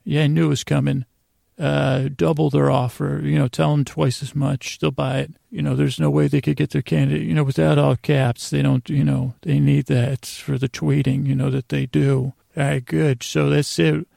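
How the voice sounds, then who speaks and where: Very creaky-voiced man rambling gently. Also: fairly high pitch, dry recording, clean studio sound. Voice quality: creaky, gravelly. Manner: meandering, halting, trailing off and circling back; goofy, absurd deadpan nonsense. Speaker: podcast host. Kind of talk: rambling bedtime sleep podcast monologue.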